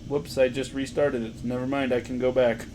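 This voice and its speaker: A young man with a loud voice, co-hosting a casual podcast, joking, laughing and reading quiz questions aloud. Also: medium pitch, level tone, fairly quick, background noise. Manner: joking, playful, laughs often. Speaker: podcast co-host.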